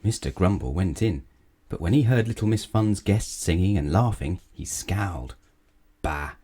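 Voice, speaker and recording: A man's voice: deep voice, animated, moderate pace, mellow, slightly rough. A man reading a children's story aloud as a storyteller.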